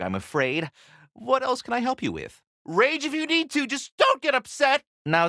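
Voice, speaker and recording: An actor playing a polite, fussy talking squirrel in a video game, with courtly, formal and theatrical phrasing. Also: male voice, medium pitch, sharp tone, dry recording, studio quality, exaggerated voice. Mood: insistent, fussy, then apologetic, formal. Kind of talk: video game character dialogue.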